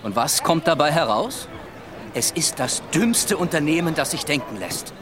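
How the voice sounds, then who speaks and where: An actor playing a proud, exasperated sea captain in a radio play, speaking with impatience and contempt. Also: male voice, on the high side, very animated, moderate pace, background noise. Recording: busy street crowd behind. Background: outdoor street bustle.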